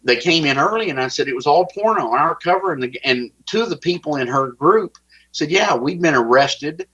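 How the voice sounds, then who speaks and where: A man with a Texas accent, giving halting interview testimony and often repeating words as he starts a sentence. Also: on the high side, lively intonation, pushed and bright, breathy edge. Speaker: guest. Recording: dull lo-fi audio.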